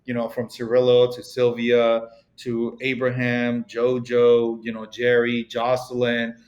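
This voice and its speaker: A man's voice: medium pitch, monotone, unhurried, a little mellow, muffled recording. Man with a Mexican accent, rambling. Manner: rambling, heavy use of "you know" and "like". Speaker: podcast guest, real estate broker. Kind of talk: casual interview conversation.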